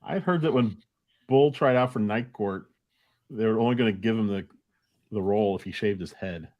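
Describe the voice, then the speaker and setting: A man's voice: lowish voice, moderate pace, darkish tone. A man speaking casually as a panelist on a group chat show. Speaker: podcast panelist.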